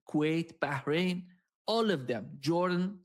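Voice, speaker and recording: Higher-pitched man giving political commentary. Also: animated, slow.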